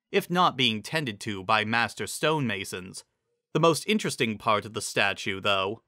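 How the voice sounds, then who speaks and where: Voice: medium pitch, pushed and bright, dry recording, studio quality. A narrator giving a dramatic scripted reading of a fantasy story. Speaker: narrator reading fan fiction.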